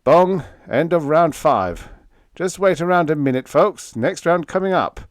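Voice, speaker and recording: A man's voice: on the high side, lively intonation, unhurried, dry recording, clean studio sound, pitched above the performer's own voice. A man voicing a playful, boisterous young male lab assistant in an audiobook, with theatrical mock-grand delivery. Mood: clowning announcer. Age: young adult. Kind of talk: audiobook character voice.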